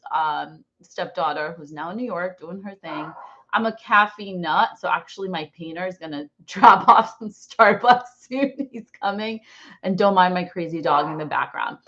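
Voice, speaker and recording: A muffled, somewhat lo-fi recording of an American woman in her forties. Her voice sits a little low as she teaches an online class. She is talkative and expressive, rambling informally from point to point. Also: moderate pace. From born in Brazil, raised in New Jersey, US. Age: 40s. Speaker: online course instructor.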